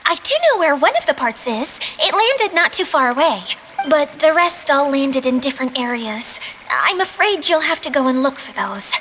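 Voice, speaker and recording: Edea, a jittery, high, lively mascot. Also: female voice, normal speed, slight background noise, rough recording. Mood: explaining. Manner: nervous, self-conscious, apologetic. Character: shy, eager female caretaker mascot. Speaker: video game character. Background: game music.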